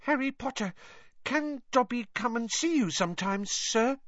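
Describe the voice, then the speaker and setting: A man's voice: very high-pitched, animated, normal speed, fairly bright tone, no room sound, distant mic, dull audio, pitched above the performer's own voice. A man voicing an eager, cheerful male house-elf who talks about himself in the third person. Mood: tentative.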